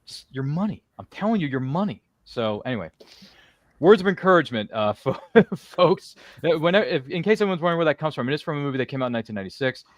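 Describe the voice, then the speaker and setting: A man's voice: on the high side, animated, quick pace, no room sound. A man hosting a casual livestream roundtable, effusive and slangy, often stammering and repeating himself with lots of energy. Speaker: podcast host.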